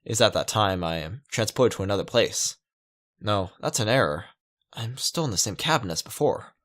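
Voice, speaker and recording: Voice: low-pitched, lively intonation, moderate pace, fairly bright tone. A let's player reading a visual novel aloud dramatically with casual asides, stumbling over words and correcting themselves.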